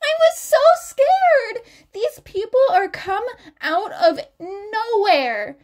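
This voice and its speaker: Woman voicing a shrill, excitable teenage schoolgirl. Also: takes it slowly, full character voice. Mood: frightened, excited. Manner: breathless gushing, almost squealing.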